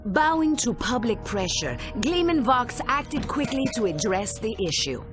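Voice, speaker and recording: A woman voicing a brisk TV news reporter in a video game, polished and emphatic. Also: a little high, very animated. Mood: urgent, newsy. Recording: loud music behind the voice.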